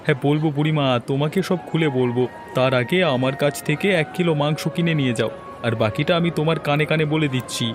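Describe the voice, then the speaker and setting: A man acting as a friendly cartoon village butcher with a fairly high voice, over clear background music. He talks familiarly, coaxing and reassuring, helpful and a little crafty. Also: fast, a crowd in the background, lightly acted. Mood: reassuring, sly. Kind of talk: scripted cartoon story acting.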